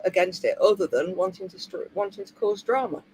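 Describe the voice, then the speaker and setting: A woman's voice: mid-range voice, brisk, a little mellow, a little hiss or hum, rough recording. A woman hosting a panel over a video call, talking in a forthright, wry way. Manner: forthright, wry, rising emphasis. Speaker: host. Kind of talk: casual panel chat.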